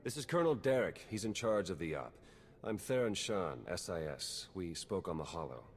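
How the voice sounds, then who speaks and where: An actor playing a brash, earnest spy agent in a sci-fi video game, talking in a wry, intense, informal way. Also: male voice, low-pitched, sing-song, normal speed, big-room sound, a little hiss or hum. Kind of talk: video game cutscene acting.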